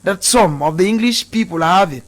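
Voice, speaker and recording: A man on a heated debate panel, jumping in with halting comments. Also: high voice, lively intonation. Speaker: panel guest.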